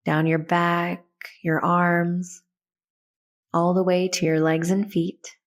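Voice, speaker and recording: A woman leading a guided meditation in a soothing, gentle, instructional way. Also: lowish voice, level tone, slow pace.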